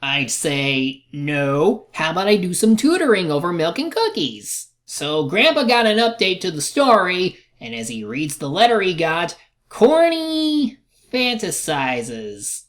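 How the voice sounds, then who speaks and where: A woman narrates a scripted review in a slightly low voice. Her intonation swings widely, and her manner is sarcastic. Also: sing-song, measured pace, soft background music.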